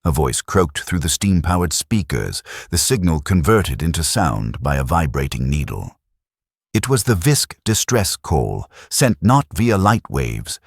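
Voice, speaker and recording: A man narrating a science fiction audiobook with dramatic, expressive storytelling. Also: deep voice, husky, no echo, studio quality.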